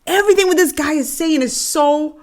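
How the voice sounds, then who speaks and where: A Bahamian woman hosting a podcast, reacting to a clip with animated, drawn-out emphasis. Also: high voice. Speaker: podcast host.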